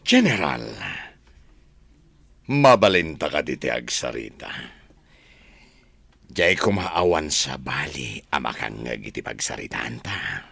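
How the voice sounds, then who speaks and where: An actor with a Filipino accent playing a domineering, offended middle-aged governor in a radio drama, gravelly and forceful. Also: male voice, a bass voice, sing-song, takes it slowly, pauses often, no room sound, heavily acted. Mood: imperious.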